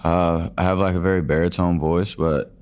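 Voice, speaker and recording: A young man speaking in a very deep, low baritone. He keeps his answers quiet, brief and understated, like a country singer talking through an interview. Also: fairly even intonation, moderate pace. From Georgia, US.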